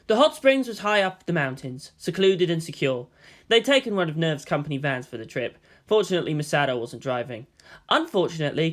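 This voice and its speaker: A man narrating a fan fiction story in a dramatic scripted reading style. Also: a little high. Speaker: fan fiction audiobook reader.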